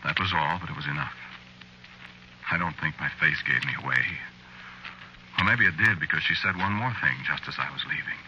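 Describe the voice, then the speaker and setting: An actor playing a meek, guilt-ridden husband confessing his crimes in a halting, brooding way, in 1940s radio drama style. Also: a bass voice, sharp tone, full character voice. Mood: troubled confession. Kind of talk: 1940s radio drama acting, first-person narration. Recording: muffled, hissy old radio recording.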